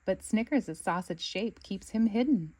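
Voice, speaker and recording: A woman reading a children's storybook aloud with expressive narration. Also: mid-range voice, unhurried. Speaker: storybook reader.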